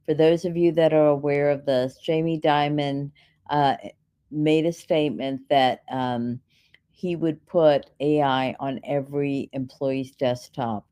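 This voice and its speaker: Female keynote speaker, deep and soft-toned, instructional Q&A delivery, walks through points methodically. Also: fairly even intonation, measured pace, clean tone. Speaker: keynote speaker answering audience questions. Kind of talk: explanatory conference Q&A.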